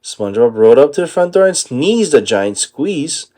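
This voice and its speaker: A man narrating a children's picture book aloud in an expressive storytelling style. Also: mid-range voice, moderate pace.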